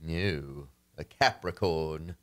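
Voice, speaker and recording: A man's voice: rumbling low, slow, a bit bright, full character voice. A man acting a formal, patronizing astrologer in an old-time radio comedy, courteous but easily exasperated.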